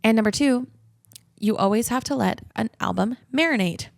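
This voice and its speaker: A woman's voice: fairly high pitch, moderate pace, creaky, dry recording, clean studio sound. A woman co-hosting a podcast, chatting casually in an emphatic, opinionated and slangy way. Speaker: podcast host.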